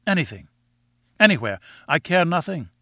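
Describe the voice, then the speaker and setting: Guy reading as keen young newspaper man, earnest and polite, bright crisp edge, dry close sound. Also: a little high, big pitch swings, moderate pace, dry recording, pitched above the performer's own voice, full character voice. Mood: reckless, keen. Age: young adult. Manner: earnest, deferential. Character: eager, ardent young male reporter, twenty-three. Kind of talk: audiobook character dialogue.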